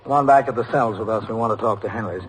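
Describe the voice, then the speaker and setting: An actor playing a brisk police captain, curt and authoritative, in a vintage radio drama. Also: male voice, lowish voice, close to an everyday voice. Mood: commanding. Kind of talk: 1950s radio drama acting. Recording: noisy vintage radio recording.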